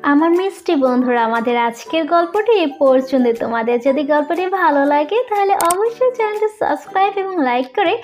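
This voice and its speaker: A woman presents to children in a very high voice. The delivery is a scripted channel message, and music plays clearly behind her. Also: lively intonation, fast, few pauses, darkish tone. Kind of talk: scripted sign-off addressed to children.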